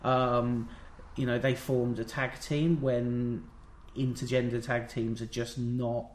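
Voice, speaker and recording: A British man talks in a loose, rambling way, full of dry, jokey asides. His voice sits at an ordinary pitch. The room around him rings with noticeable echo. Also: flat delivery, takes it slowly. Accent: British English. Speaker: podcast co-host. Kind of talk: casual wrestling chat. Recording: roomy echo.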